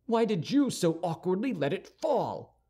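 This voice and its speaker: Male narrator as impetuous young duellist for storybook; high, animated, slightly husky, hot-tempered. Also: unhurried, full character voice. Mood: angry, challenging. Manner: impetuous, indignant, challenging. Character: hot-tempered, proud young Gascon swordsman, quick to challenge. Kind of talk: audiobook character dialogue.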